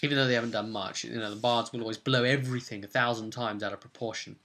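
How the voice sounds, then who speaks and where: A man's voice: medium pitch, steady tone, fast, bright, forceful tone. A man, a game master hosting a vidcast, informally recapping his tabletop role-play campaign.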